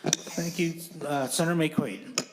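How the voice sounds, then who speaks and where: A man's voice: a little high, slow pace, noisy background. A man chairing a committee, calling on speakers in formal procedural language.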